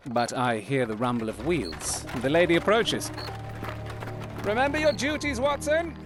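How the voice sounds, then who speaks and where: A high-voiced, animated gentleman detective. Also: unhurried, music in the background. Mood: analytical, assured. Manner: precise, instructing, theatrical delivery. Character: shrewd, confident gentleman detective. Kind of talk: audio drama acting.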